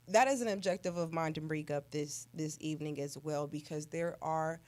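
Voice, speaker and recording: Earnest woman on a talk show, voice a bit low, serious and emphatic. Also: moderate pace, a little mellow. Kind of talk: serious heartfelt conversation. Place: indoor radio talk show studio.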